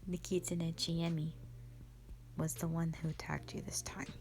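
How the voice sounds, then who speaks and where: A woman playing a strict, commanding mother who leads a warrior band, speaking sternly and deliberately in a roleplay audio drama addressed to the listener. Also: sits low, little pitch movement, gravelly, a little mellow. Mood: grave, stern. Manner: stern, deliberate, halting delivery with sighs.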